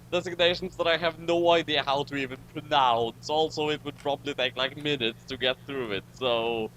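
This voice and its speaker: A man's voice: on the high side, fairly bright tone. Dry man hosting casual commentary, noisy recording. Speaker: commentary host. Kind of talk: casual group commentary on a story.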